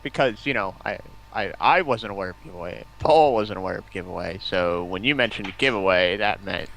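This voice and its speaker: Guy with a lowish voice, casual stream banter, keeps restarting his words. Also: creaky, a bit bright, slight background noise, low-quality recording. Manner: halting, repeats words as he starts sentences. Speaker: guest on a gaming livestream.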